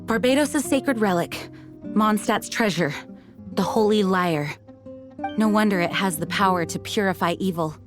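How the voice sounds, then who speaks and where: A woman's voice: medium pitch, moderate pace, natural voice. A woman playing an earnest, dutiful young knight leader in a video game, composed and sincere. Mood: reverent, hopeful. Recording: music behind the voice.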